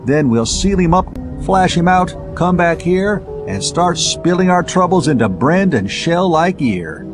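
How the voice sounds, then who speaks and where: Guy doing a brainy pulp sci-fi spaceman, eager and slangy, pitch jumping around, music behind. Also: very animated, a little slow. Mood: excited. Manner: technical explaining mixed with breezy slang. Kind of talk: dramatized pulp science fiction audiobook.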